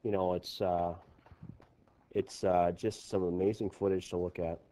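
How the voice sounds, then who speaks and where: Low, mellow male news anchor. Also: flat delivery, a little slow. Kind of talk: live unscripted flood coverage commentary.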